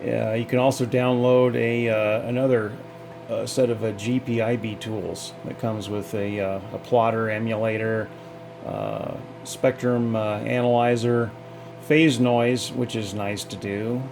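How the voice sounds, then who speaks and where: A man's voice: fairly low pitch, unhurried, creaky, over music. A man giving a casual, off-the-cuff technical demonstration in a folksy, hesitant way, thinking aloud as he goes. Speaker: hobbyist demonstrator.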